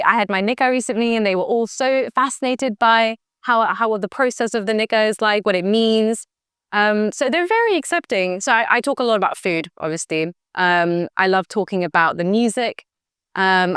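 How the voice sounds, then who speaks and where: A woman's voice: medium pitch, a bit bright, smooth, dry recording, studio quality. A young woman answering interview questions in a chatty, enthusiastic way, with lots of "like" and "you know". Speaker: guest, former government official. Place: campus recording room.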